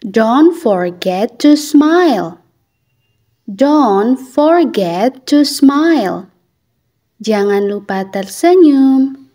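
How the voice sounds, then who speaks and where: Very slow, lively woman teacher. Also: high voice. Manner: clear, deliberate, lively intonation. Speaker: English teacher for children. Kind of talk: slow repeated phrase drills with translations.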